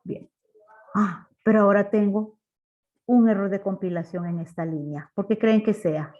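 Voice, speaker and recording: A woman's voice: medium pitch, fairly even intonation, moderate pace, a little mellow. A woman teaching a programming class step by step, asking questions as she explains. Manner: didactic, asks rhetorical questions. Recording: dull lo-fi online class audio.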